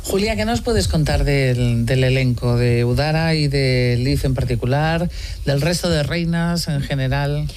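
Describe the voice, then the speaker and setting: Interviewer, mid-pitched, faint rumble behind. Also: unhurried, darkish tone. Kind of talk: interview questions.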